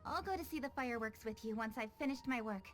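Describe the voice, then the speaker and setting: Woman playing a high-voiced, polite young lady official. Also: close to an everyday voice. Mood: businesslike, friendly. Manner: courteous, brisk, formal. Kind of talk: video game cutscene acting. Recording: faint music behind the voice. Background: background music.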